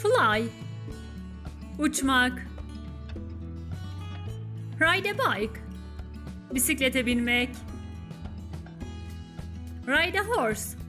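A woman's voice: high voice, expressive, extremely slow, long pauses, music in the background. A Turkish woman narrating a scripted English lesson as a teacher, with careful, instructive enunciation.

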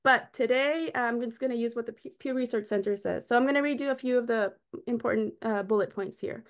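A woman's voice: on the high side, fairly quick. Friendly woman lecturing over a video call. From Costa Rica. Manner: friendly, explanatory, conversational. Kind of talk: online lecture with slides. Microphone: webcam on a video call.

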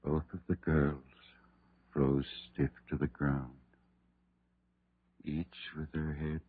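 Stern 1930s radio doctor, muffled sound. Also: male voice, extremely low pitch, little pitch movement, slow, exaggerated voice. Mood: grim, shaken. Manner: commanding, urgent. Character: stern, determined male doctor leading a night search. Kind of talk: 1930s radio horror acting. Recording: muffled, narrow-band 1930s radio recording.

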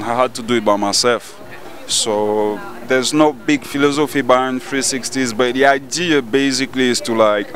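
A man in his thirties from Ivory Coast with a French-speaking West African accent, answering interview questions casually on a rooftop, searching for words with many pauses and repeats. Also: medium pitch. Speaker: DJ and event organizer. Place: outdoor rooftop terrace above a busy street. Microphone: handheld interview mic held by the interviewer. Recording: faint traffic noise outdoors.

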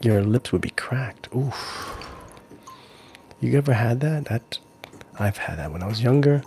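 A middle-aged man casually chatting with viewers on an ASMR live stream, drifting between soft murmured talk and whispers close to the mic. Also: mid-range voice, expressive, measured pace, a little hiss or hum. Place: small indoor gaming room. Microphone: handheld-style recorder mic close to the mouth.

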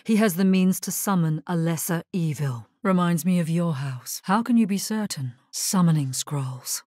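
A woman playing a grave, resolute necromancer hero in a video game, husky-voiced, speaking in a measured, solemn and terse way. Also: fairly low pitch, dark tone, dry recording, clean studio sound, close to an everyday voice. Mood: grave, questioning. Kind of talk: scripted video game character lines.

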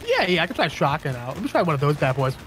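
Goofy male gamer, high and animated, playful bragging, casual banter over music. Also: racing. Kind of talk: casual, joking gameplay banter.

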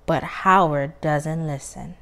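A woman reading a children's story aloud with expressive, deliberate, emphatic phrasing. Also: sits low, gravelly.

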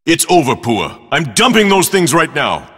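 An actor playing a brash, easygoing middle-aged Hawaiian surf shop dad in a video game, talking in colloquial, clipped phrases. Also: male voice, fairly high pitch, huge pitch swings, normal speed, fairly bright tone, breathy edge, no room sound, studio quality, heavily acted. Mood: agitated, urgent. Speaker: game character: beach shop owner.

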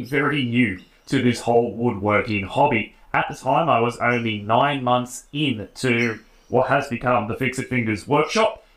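A man's voice: mid-range voice, fairly bright tone. A man in his thirties with an Australian accent, talking casually to camera as a hobby woodworking creator in his garage workshop. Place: home garage workshop, indoors. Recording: roomy garage echo.

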